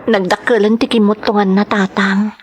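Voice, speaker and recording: A woman's voice: mid-range voice, little pitch movement, moderate pace, close to an everyday voice. A woman playing an anguished, devoted young wife in a sentimental radio drama, pleading and emotional. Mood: sorrowful, resigned.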